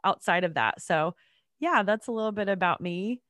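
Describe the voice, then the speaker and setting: A woman in her thirties from North Carolina, a guest on a faith podcast, chatting casually while congested, her voice deeper than usual. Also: animated, normal speed, a bit bright, no echo, clean studio sound. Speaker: podcast guest, children's ministry worker. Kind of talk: casual interview chat.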